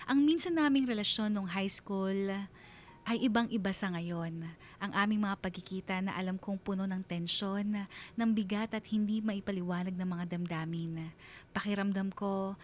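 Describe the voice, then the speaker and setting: A woman's voice: medium pitch, slight background noise, close to an everyday voice. A woman with a Filipino accent playing an anxious, guarded single mother in a radio drama, speaking in a hesitant, emotional, pleading way.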